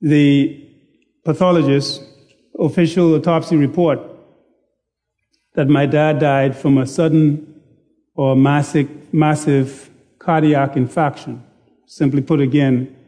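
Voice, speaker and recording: A man preaching a sermon in a slow, deliberate, self-deprecating manner. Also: fairly high pitch, steady tone, mellow. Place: church sanctuary.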